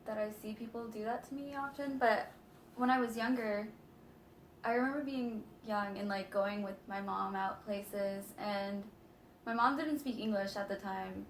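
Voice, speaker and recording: A woman's voice: medium pitch, normal speed. A nineteen-year-old woman answering interview questions hesitantly, rambling with many fillers. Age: late teens. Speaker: interviewee, art student. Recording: roomy echo, faint noise.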